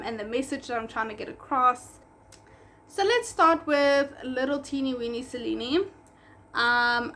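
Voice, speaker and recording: A woman's voice: sits high, fairly bright tone, slight background noise. A young woman in her twenties from South Africa, Afrikaans by birth, chatting casually in a vlog with a South African accent and a rambling, self-correcting manner. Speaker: pet spa owner.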